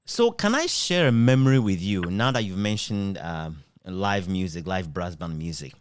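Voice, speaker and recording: A man's voice: low voice, lively intonation, moderate pace, clean tone, no echo, clean studio sound. A man, talking conversationally as an interviewer.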